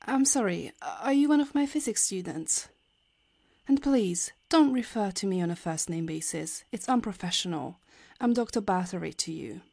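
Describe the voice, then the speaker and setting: A woman's voice: medium pitch, lively intonation, normal speed, vocal fry, darkish tone, husky, dry recording. A woman playing a prim, condescending physics professor in an audio drama, with a formal, pompous manner. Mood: curt, offended. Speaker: voice actor in an audio roleplay. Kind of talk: science fiction audio drama dialogue.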